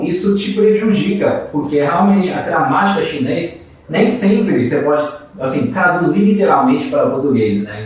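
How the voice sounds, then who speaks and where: A man's voice: on the high side, far from the mic. A Chinese-born man whose first language is Mandarin, co-hosting a casual podcast chat and filling his speech with "né" tags and restarts. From China. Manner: frequent "né" tags, hesitant restarts. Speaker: podcast co-host. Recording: echoey room, off-mic.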